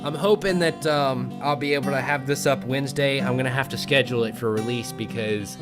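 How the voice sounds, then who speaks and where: A man's voice: medium pitch, brisk, over loud music. A man hosting a talk show in a casual interview style, recorded in a bedroom.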